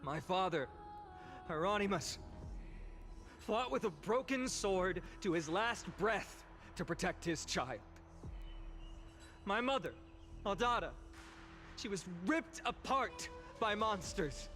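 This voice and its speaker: An actor playing an earnest young male hero who gives a solemn, measured speech in a video game. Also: high-pitched, expressive, fairly bright tone, exaggerated voice. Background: game music.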